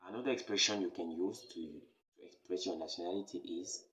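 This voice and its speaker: A man from Cameroon hosting a beginner French lesson, repeating key phrases slowly and emphatically. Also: deep voice, fairly bright tone. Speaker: French teacher, channel host. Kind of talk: slow, repetitive beginner language lesson.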